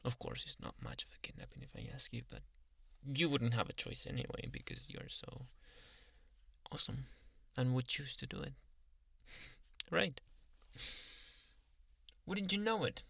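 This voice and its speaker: A man playing an obsessive, doting young yandere Uber driver in an intimate ASMR roleplay, coaxing and smugly pleased with himself, with halting speech and soft whispered asides. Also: medium pitch, expressive, vocal fry, husky, no room sound, exaggerated voice. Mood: coaxing, playful.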